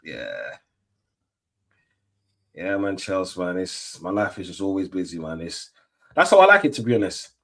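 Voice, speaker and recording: A middle-aged man talks in a fairly low voice. His chat is loose and slangy, full of fillers and restarts, as he hosts a relaxed football watch-along stream. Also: moderate pace, creaky, pauses often. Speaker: stream host.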